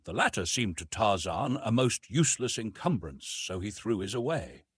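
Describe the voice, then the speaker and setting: A man narrating a classic adventure novel as a dramatic, expressive audiobook reading. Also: lowish voice, moderate pace, breathy edge, no echo.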